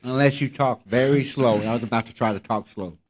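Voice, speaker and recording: A man's voice: mid-range voice, fairly quick. A man chatting casually as a podcast co-host. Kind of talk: casual chat.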